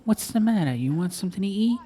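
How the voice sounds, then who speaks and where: A man's voice: sits high, animated, fast, warm tone, breathy edge, voice pushed up. A man voicing a worried, bossy middle-aged Italian-American mother in an audiobook, with noise behind the voice. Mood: worried fussing. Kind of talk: audiobook character voice.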